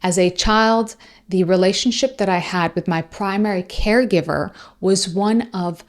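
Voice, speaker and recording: A woman who is a native Persian speaker, hosting a reflective monologue in a measured, deliberate way with long pauses. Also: medium pitch. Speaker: host.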